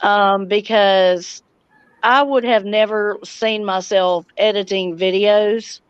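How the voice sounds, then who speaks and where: Middle-aged woman, smooth voice, casual livestream chat, slightly dull dry audio. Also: mid-range voice, no echo. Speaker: livestream host and homesteading vlogger. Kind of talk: casual livestream chat, reading viewer comments.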